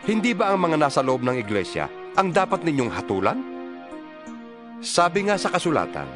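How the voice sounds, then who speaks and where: A man's voice: on the high side, very animated, moderate pace. A man giving a dramatized, earnest reading of scripture, preaching with rhetorical questions. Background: background music.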